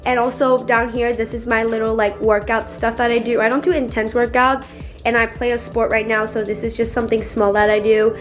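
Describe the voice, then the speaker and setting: A teenage girl vlogger giving a casual, bubbly room tour, her voice still a bit raspy, with lots of "like" and "literally". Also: fairly high pitch, little pitch movement, a little fast, soft background music. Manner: bubbly, chatty, says "like" and "literally" a lot. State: slightly raspy voice.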